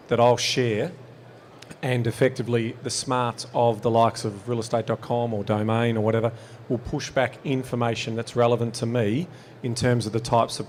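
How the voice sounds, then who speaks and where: A man's voice: medium pitch, darkish tone, a little hiss or hum. A man hosting a live podcast on an expo stage, asking long, winding interview questions. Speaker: podcast host.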